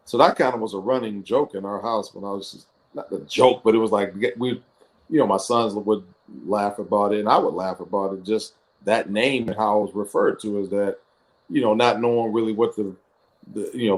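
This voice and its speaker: Low-voiced reflective man from Ohio. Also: steady tone, brisk, a little mellow, poor audio. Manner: reflective, with frequent fillers and restarts. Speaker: guest football coach. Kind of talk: personal storytelling in an interview. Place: interview on a video call. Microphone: computer or headset microphone on a video call.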